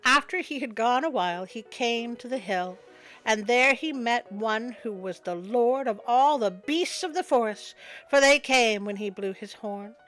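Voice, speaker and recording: A woman's voice: a little high, measured pace, a bit bright, faint music behind, poor audio. A woman storyteller narrating a folktale expressively, with wry spoken asides. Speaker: storyteller, host.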